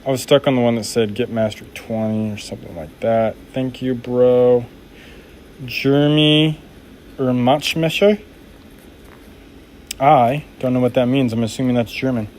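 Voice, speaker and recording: A man's voice: mid-range voice, steady tone, unhurried, long pauses, a little mellow, slight background noise. A man making knives during a live stream, chatting casually and reading viewer comments aloud in an upbeat, laid-back way.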